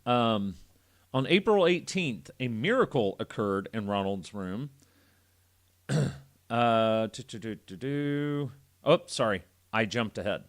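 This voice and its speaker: A man's voice: mid-range voice, expressive, takes it slowly. A man hosting a casual podcast, reading a story aloud with irreverent, foul-mouthed joking asides. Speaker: podcast host.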